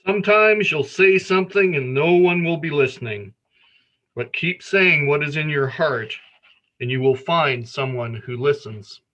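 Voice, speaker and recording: A man reading a gentle children's story aloud with deliberate phrasing. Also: on the high side, dull audio. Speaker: storyteller at a worship service.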